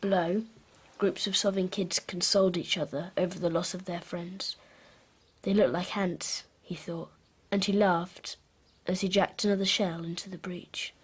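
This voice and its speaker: Woman doing a withdrawn british schoolboy about twelve, husky and subdued, guarded, audio drama. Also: on the low side, level tone, moderate pace. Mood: subdued reading. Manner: terse, reluctant, subdued.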